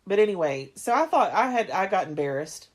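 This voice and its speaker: A woman's voice: mid-range voice, sing-song, brisk. A woman in her thirties chatting casually as a podcast co-host, rambling and restarting often. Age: 30s. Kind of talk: casual chat.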